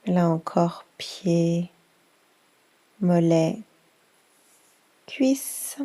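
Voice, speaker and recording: Slow, soft-voiced woman guiding relaxation. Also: fairly low pitch, expressive, rough recording. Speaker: yoga nidra guide. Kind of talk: guided relaxation for children.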